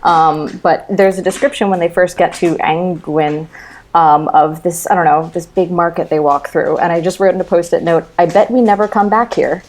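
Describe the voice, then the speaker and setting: A woman's voice: on the low side, steady tone, moderate pace, darkish tone. A woman speaking as a podcast guest in a casual book discussion, wry and sarcastic, swearing casually. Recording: noticeable background noise.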